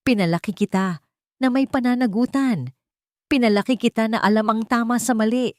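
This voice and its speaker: A woman's voice: on the high side, moderate pace, no room sound, voice pushed up, lightly acted. A woman voicing a stern, determined grandmother in an audio drama story, firm, cold and accusing. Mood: rising anger. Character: stern, determined grandmother confronting her daughter.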